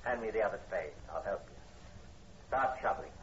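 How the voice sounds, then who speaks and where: Man acting a low, threatening farm boss. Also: expressive, fairly quick, natural voice. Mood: impatient. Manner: curt, domineering. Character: stern, threatening male farm owner, secretive and impatient. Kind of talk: 1940s radio horror acting. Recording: muffled, noisy 1940s radio recording.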